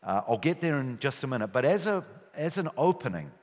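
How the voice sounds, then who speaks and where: A middle-aged man with a New Zealand accent, a guest speaker telling his story in a relaxed, joking way with many fillers. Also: medium pitch, a little fast. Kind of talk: church talk. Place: church hall. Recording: reverberant church hall.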